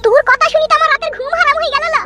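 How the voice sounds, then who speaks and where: A performer voicing a scolding, exasperated village mother in a Bengali comedy cartoon, with a rural West Bengal accent and a pitch-shifted voice. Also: fairly even intonation, racing, exaggerated voice. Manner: nagging, shrill scolding in village dialect. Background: loud music.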